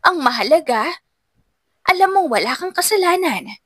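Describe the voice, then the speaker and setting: A gentle, consoling mother, played by a woman narrator in an audio melodrama. She speaks softly and soothingly, in a dry, intimate recording. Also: very high voice, sing-song, moderate pace, no echo, pitched above the performer's own voice, exaggerated voice. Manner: soft, soothing.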